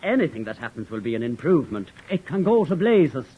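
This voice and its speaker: A man's voice: medium pitch, very animated, a little fast, breathy edge, dull audio. An actor playing Tim, a stubborn, jealous young man with a lilt, in 1940s-style radio drama acting. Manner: blunt, sulky, indignant.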